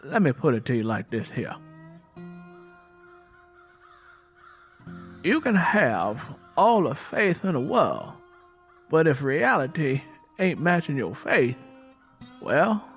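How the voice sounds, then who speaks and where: A man's voice: a little high, sing-song, a little slow, fairly bright tone, soft background music, full character voice. A man voicing a bitter, mean-tempered woman from the American South in a folksy, emphatic storytelling way for an audiobook. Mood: firm, skeptical.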